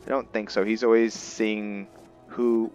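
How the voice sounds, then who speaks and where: A man giving casual roleplay gameplay commentary, thinking aloud in short, halting phrases as he plays. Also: lowish voice, flat delivery, a little mellow, dull audio. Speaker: gaming video host, player. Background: game music.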